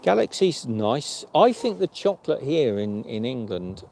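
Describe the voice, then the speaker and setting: A man's voice: medium pitch, very animated, a little slow, creaky, darkish tone, a little hiss or hum. A man from Australia chatting casually on a travel livestream, rambling and correcting himself. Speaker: vlog host.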